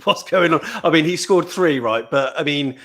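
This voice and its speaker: Brief: male fan-show host, chatty, upbeat, voice sitting a touch high, over a video call. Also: fairly bright tone. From England. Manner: chatty, upbeat, quick asides. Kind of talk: casual live fan show chat. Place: remote location on video call.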